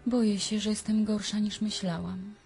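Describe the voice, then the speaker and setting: An actress playing a headstrong young runaway from a good family, with a husky voice, in a radio drama. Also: female voice, mid-range voice, level tone, moderate pace, a little mellow, natural voice. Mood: anxious, tender.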